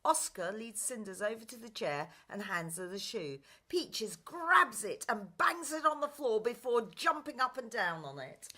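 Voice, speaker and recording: A woman's voice: on the high side, sing-song, a little slow, hardly any pauses, bright, forceful tone. A woman reading scripted narration and stage directions for a pantomime radio play.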